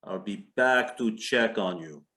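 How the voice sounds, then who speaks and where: A man's voice: on the low side, a little slow, bright, forceful tone. Man playing a curt, menacing crime boss. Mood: commanding. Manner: curt, commanding. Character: menacing male Russian organized-crime boss, curt and domineering. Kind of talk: readers theater stage acting.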